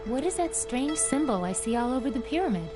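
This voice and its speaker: A woman's voice: sits high, big pitch swings, brisk, music in the background. A young woman playing a plucky teenage village girl in a video game, casual and inquisitive. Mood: curious.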